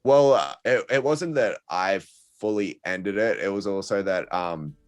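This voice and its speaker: Young male podcast guest, very low pitch, halting and easygoing. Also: smooth. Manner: hesitant, many ums and restarts, laughs easily. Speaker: guest content creator. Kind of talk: casual podcast chat.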